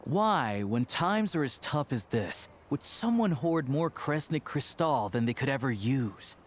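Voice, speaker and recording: An actor playing a cool, menacing investigator in a video game, questioning in a deliberate, probing way. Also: male voice, mid-range voice, sing-song, a little hiss or hum. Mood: cool accusation.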